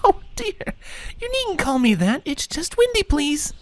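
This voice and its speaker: Casting: doting pegasus mum, squeaky and very high, wildly swooping delivery, gushing, music underneath. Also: female voice, unhurried, dry recording. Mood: warm, chatty. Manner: gushing, squeaky, over-excited. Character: excited doting mother pegasus mare, gushing and motherly. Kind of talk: animated cartoon-style story dialogue.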